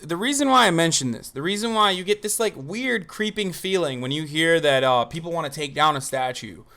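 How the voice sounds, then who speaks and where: A man's voice: on the high side, animated, a little fast, pushed and bright. A man doing unscripted livestream commentary, emphatic and argumentative, with casual swearing. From Turkey. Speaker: streamer and political commentator.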